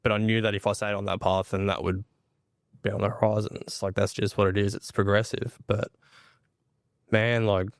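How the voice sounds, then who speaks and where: Clear, smooth-voiced man. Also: fairly low pitch, flat delivery, moderate pace, dry recording, studio quality. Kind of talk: casual, candid chat.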